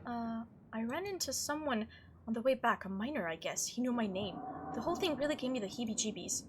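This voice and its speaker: A woman's voice: a little high, lively intonation, a little fast, a little hiss or hum, close to an everyday voice. A woman voicing a rattled young visitor to a haunted town in a visual novel, hesitant and stammering with nerves. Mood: shaken. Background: faint game music.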